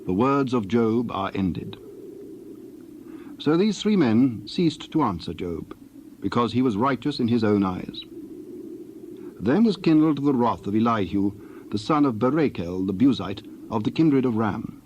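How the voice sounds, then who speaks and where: Guy narrating a bible drama, mid-pitched, measured and formal, some noise and birds behind. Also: expressive, a little mellow, dull audio. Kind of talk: scripted narration of dramatized scripture.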